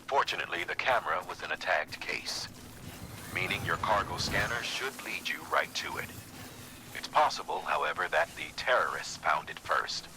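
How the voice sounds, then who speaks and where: Deep gravelly male mission briefer. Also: big pitch swings, in a whisper, full character voice, rough recording. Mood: businesslike, grave. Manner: measured, businesslike. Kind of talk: video game mission briefing.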